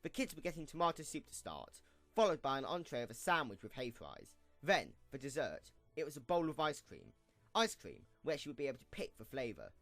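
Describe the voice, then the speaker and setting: A man's voice: mid-range voice, very animated, fairly quick, a bit bright, no room sound. A man reading scripted storybook narration as the narrator of an audio drama. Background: music.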